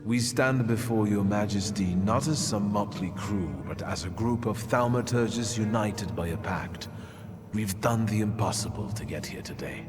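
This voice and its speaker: Man playing a deep, commanding mystic rebel. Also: close to an everyday voice. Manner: commanding, deliberate, menacing. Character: resolute, menacing male mystic leading rebels. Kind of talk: dramatic game dialogue. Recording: long hall reverb, loud music.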